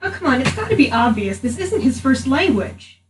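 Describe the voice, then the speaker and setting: A woman's voice: high voice, big pitch swings, normal speed. A woman roleplaying casually as a player in a tabletop game. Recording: roomy distant mic, faint hiss.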